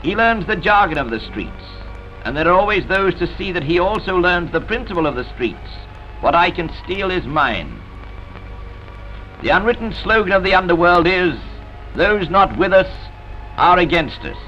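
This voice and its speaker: A man delivers a solemn newsreel narration in a bright, forceful voice with sweeping, animated pitch. His delivery is dramatic and oratorical, like a formal public address. The old soundtrack is dull and limited. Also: normal speed, faint music behind. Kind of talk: scripted vintage newsreel narration.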